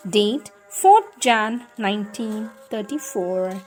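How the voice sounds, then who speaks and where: A woman reading spiritual letters aloud in a devotional style, with deliberate delivery. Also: medium pitch, expressive, a little mellow. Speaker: reader of spiritual teachings. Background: music in the background.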